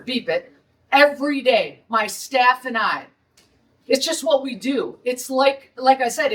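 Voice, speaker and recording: A woman's voice: high voice, pushed and bright, distant mic, low-quality recording. A middle-aged woman from Detroit with a Detroit accent, a restaurant owner holding a blunt, indignant press conference.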